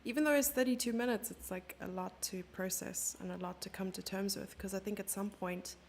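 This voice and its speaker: Chess streamer, a woman with a South African accent, chatty with her chat, a bit of fry in the voice, mid-height pitch. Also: fairly even intonation, fairly quick, a little mellow, a little hiss or hum. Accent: South African English. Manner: chatty, reads chat questions aloud and answers them. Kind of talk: live chess commentary, chatting with viewers.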